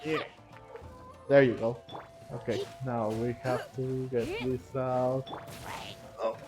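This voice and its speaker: A man's voice: mid-range voice, little pitch movement, takes it slowly. A man giving casual, rambling, hesitant commentary as he plays through a game. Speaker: gaming video host. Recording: dull, lo-fi microphone sound. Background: faint game music.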